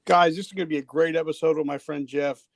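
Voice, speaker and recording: A man's voice: on the high side, fairly even intonation, fast, dry recording. An older man from New Jersey hosting a live, unscripted podcast in loose, chatty conversation. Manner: loose and chatty, often trailing into laughter. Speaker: podcast host. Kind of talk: casual live podcast chat.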